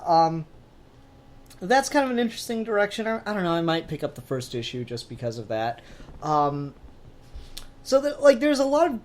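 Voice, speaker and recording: A man co-hosting a casual discussion, animated and sweary, prone to acting out bits of dialogue. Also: medium pitch. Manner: animated, profane, acts out quoted dialogue. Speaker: podcast co-host discussing comics. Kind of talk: casual chat.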